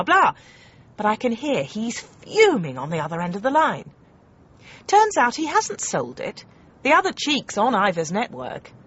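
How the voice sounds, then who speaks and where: An actress playing a brisk, domineering TV comedy producer in a radio comedy drama, emphatic and sardonic. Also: female voice, medium pitch, fairly bright tone, breathy edge, muffled recording, full character voice.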